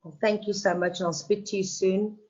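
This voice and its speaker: A woman's voice: mid-range voice, steady tone, a little fast, a little mellow, lo-fi sound. A woman, a radio presenter, chatting and reassuring a caller in a supportive way. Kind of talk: phone chat.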